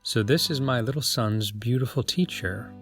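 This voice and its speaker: A man playing a courteous middle-aged father in a dramatized audiobook, formal and measured. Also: mid-range voice, natural voice. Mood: warmly grateful. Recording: dry voice with music under it.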